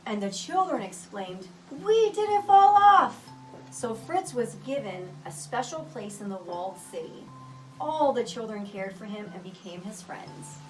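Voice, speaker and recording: A woman reading a children's picture book aloud with expressive, deliberate storytelling delivery. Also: medium pitch. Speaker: storybook reader. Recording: roomy echo, distant mic, music behind.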